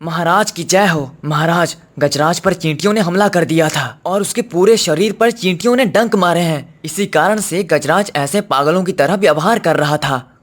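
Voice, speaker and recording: A man's voice: fairly high pitch, normal speed, a bit bright. A man playing a deferential royal servant who speaks formally and respectfully in a children's cartoon story. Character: deferential male royal servant reporting to his king.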